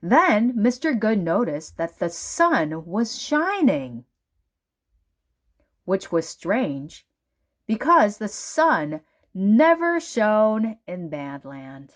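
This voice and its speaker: A woman narrates a children's book aloud at a very slow, deliberate pace. Her intonation swings in an animated way, with dramatic emphasis on key words. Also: medium pitch. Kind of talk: storybook read-aloud narration.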